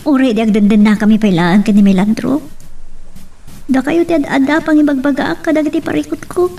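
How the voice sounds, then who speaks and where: A woman playing a troubled, anxious and sorrowful adult daughter, with a breathy voice, in an Ilocano radio drama. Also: high-pitched, brisk, warm tone, far from the mic.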